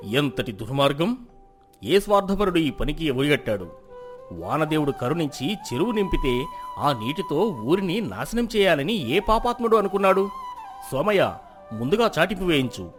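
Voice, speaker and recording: A stern, authoritative village lord acted by a man presides in a folk moral tale. His delivery is measured and formal but animated, with music underneath. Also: on the high side. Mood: indignant, commanding. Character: authoritative village landlord judge, stern, just elder man. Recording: music behind the voice.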